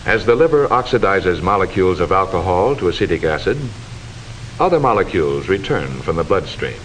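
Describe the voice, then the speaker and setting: A man delivering formal, measured, instructive scripted narration in the style of a 1940s educational film, on a hissy old soundtrack. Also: sits low, expressive, poor audio.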